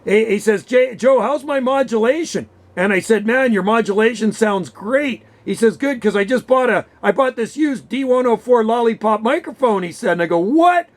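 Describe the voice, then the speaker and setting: A man's voice: very high voice, expressive, normal speed, few pauses. A Canadian man of about sixty, chatty and enthusiastic, telling stories in casual radio conversation. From western Canada. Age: around 60. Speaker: CB radio hobbyist. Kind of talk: casual radio chat, reminiscing. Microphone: vintage desk radio microphone.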